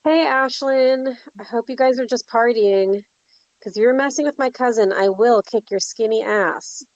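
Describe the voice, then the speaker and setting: A woman's voice: fairly high pitch, unhurried, dry recording, muffled recording. A woman playing a tough, protective young woman in a horror script table read, blunt and confrontational. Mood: angry, threatening.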